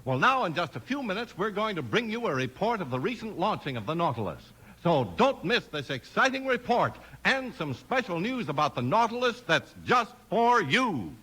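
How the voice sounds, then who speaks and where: High-pitched male 1950s radio announcer. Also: sing-song, normal speed, few pauses, fairly bright tone, husky, poor audio.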